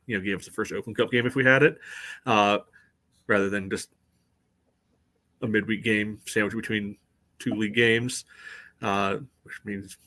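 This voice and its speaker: A man in his thirties with a fairly low voice co-hosts a sports podcast. He gives casual fan commentary over a video call from a room at home. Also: steady tone, normal speed, pauses often, pushed and bright. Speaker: soccer podcast co-host.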